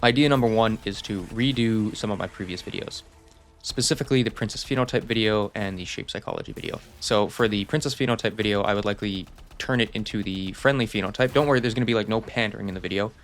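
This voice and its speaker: A man's voice: on the low side, fast talker, slight background noise. A young man giving a casual, rambling channel update, self-deprecating and reassuring. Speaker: channel host.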